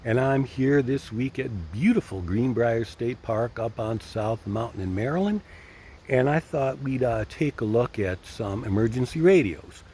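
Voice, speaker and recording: An older man presenting a casual product review, speaking deliberately and repeating key phrases for emphasis. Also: medium pitch, expressive, mellow, a little hiss or hum. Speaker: host reviewing gear.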